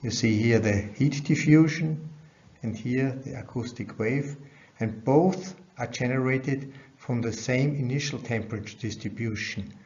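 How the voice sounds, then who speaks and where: A man's voice: mid-range voice, unhurried, a little mellow. Dull-sounding man giving a science lecture. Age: middle-aged. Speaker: researcher presenting at a conference. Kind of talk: scientific lecture over slides. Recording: dull, band-limited webcam audio.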